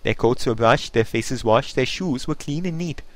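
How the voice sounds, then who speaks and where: A man reading a poem aloud in a theatrical, expressive storytelling manner. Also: mid-range voice, moderate pace. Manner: theatrical, putting on voices for dialogue. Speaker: reader. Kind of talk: expressive poetry recitation.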